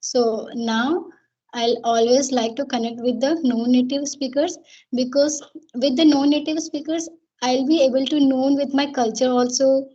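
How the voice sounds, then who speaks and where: Nervous woman English learner, husky voice, halting speech, dull video-call sound. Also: high-pitched, fairly even intonation, warm tone. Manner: hesitant, many fillers and restarts. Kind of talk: conversation practice.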